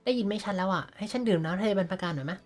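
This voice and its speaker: A woman's voice: mid-range voice, animated, very fast, faint music behind. A woman with a Thai accent livestreaming a game, chatting casually and reading dialogue aloud.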